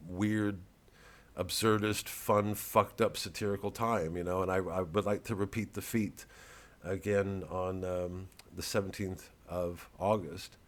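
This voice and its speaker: A man with an American accent and a lowish voice delivers a casual comedian's monologue. He wanders from one aside to the next and swears freely, as if chatting straight to his followers. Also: little pitch movement, measured pace. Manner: rambling, digressive, profane. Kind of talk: casual vlog monologue to fans.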